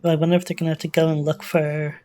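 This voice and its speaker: Female reaction host, notably low register, flat delivery, casual and tentative, reasoning things out as she goes. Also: racing. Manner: musing, tentative, thinking aloud. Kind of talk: casual off-the-cuff reaction commentary.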